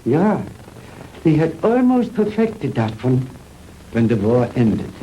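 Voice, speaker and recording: An older Nazi mad scientist. He speaks slowly and pompously, his pitch rising and dropping theatrically as he lectures with fanatical grandeur. The sound is a faintly hissy 1960s B-movie soundtrack. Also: big pitch swings. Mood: boastful, wistful.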